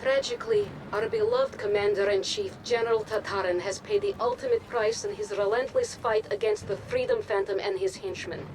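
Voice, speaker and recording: A woman's voice: medium pitch, sing-song, normal speed, hardly any pauses, background noise, natural voice. A woman playing a polished, formal TV news anchor who reads state propaganda dramatically in a video game. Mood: formal, grave. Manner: formal, dramatic newsreader delivery. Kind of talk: scripted TV news broadcast.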